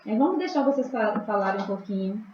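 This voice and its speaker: A woman moderating a study group over a video call, calmly asking questions. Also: medium pitch, fast talker. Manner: calm, asks questions, many agreeing fillers. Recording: echoey muffled call with faint noise.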